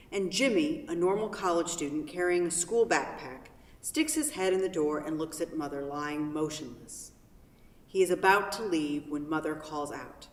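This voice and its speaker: A woman reading stage directions as scripted narration for a play. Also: fairly low pitch. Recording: very echoey hall from far off.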